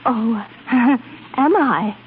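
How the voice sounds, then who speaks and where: A woman's voice: on the high side, drawn-out words, a little hiss or hum. A woman playing a coy, flirtatious opera prima donna in a 1930s radio drama, hesitant and fluttery. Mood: flustered, coy. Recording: tinny, band-limited 1930s radio broadcast with music.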